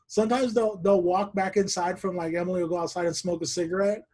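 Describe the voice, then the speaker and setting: A man hosting a podcast over a video call, telling stories in a relaxed chat and laughing often. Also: high-pitched, quick pace. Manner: laughs often, animated storytelling. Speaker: podcast host. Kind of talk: casual chat.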